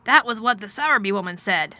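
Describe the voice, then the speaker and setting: A woman acts out a miserable, distracted gentleman from a storybook. He is fretful and curt, speaking in abrupt, emphatic bursts with a bright, forceful edge. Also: mid-range voice, expressive, fast talker. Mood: absent-minded.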